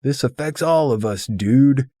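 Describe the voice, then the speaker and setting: A man's voice: mid-range voice, a little slow, dark tone, no echo, clean studio sound, voice pushed up, close to an everyday voice. A man voicing a hot-headed, overbearing man who hollers emphatically at his friend in an audiobook. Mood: indignant, hollering.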